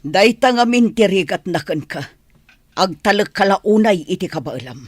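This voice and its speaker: A woman's voice: medium pitch, animated, moderate pace, no room sound, natural voice. A woman plays an older wife and mother in a radio drama. She is worried and unyielding, with a widely varying melody to her speech. The recording is dry and close. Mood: worried, reproachful. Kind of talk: radio drama acting in Ilocano.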